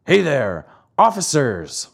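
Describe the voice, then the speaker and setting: A man voicing a huge, bearded, gruff but soft-hearted puppet master in a commanding, theatrical storybook style. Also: fairly high pitch, wildly swooping pitch, slow pace, voice pushed up, full character voice. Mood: grumbling.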